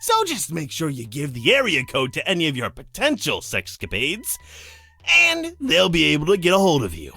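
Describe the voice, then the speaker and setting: A flamboyant demon guide for a visual novel game, cocky and bawdy. The voice swoops theatrically and has a bright, cutting edge, with music playing underneath. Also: mid-range voice, wildly swooping pitch, normal speed, gravelly, husky, no echo, full character voice. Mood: smug, playful. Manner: showy, self-satisfied, swooping delivery.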